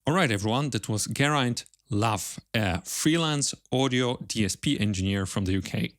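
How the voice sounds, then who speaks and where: A man hosting an interview podcast, speaking in a polite, measured way with formal phrasing. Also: mid-range voice, expressive, fairly bright tone, no room sound, studio quality. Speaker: podcast host. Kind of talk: interview, then podcast sign-off.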